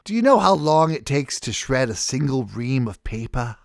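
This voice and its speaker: A man puts on an old blue-collar dock worker from a novel, in his sixties, with a Boston accent. He speaks in a slow, methodical, folksy way, amiable and plain, in a very dry recording. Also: mid-range voice, sing-song, vocal fry, no room sound. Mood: weary, explaining. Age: 60s.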